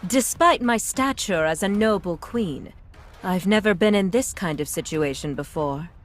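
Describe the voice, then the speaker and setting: An actress playing a calm, knowing, regal queen who commands in a video game, with poised, unhurried delivery. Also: mid-range voice, close to an everyday voice. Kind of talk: English video game dub acting. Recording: music behind the voice.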